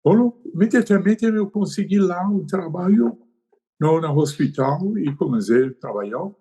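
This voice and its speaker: A man's voice: high voice, expressive, a little slow, warm tone. An older man, a podcast guest who tells his story hesitantly, with many fillers and tag questions. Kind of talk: conversational storytelling.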